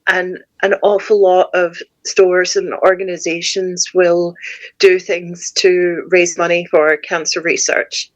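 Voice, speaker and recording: Scottish woman guest, lowish voice. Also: little pitch movement, slow, dull audio. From Scotland. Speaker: guest, shop owner. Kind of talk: casual conversation.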